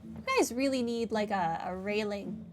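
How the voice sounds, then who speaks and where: A woman's voice: medium pitch, normal speed, slight background noise. A woman gamer giving casual playthrough commentary and sometimes reading dialogue aloud. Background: game music.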